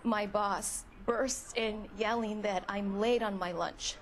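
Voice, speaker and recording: An actress playing a nervous, defensive young store clerk in a video game, speaking in a halting, anxious way. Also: female voice, mid-range voice, steady tone, a little hiss or hum. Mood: shaken. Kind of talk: video game dialogue acting.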